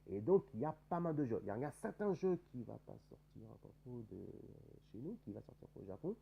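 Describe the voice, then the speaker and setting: A man's voice: mid-range voice, very animated, fast talker, gravelly, husky, highs cut off. A man with a head cold, hosting a casual gaming video, talking over news with many fillers and restarts. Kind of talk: casual spoken game news commentary.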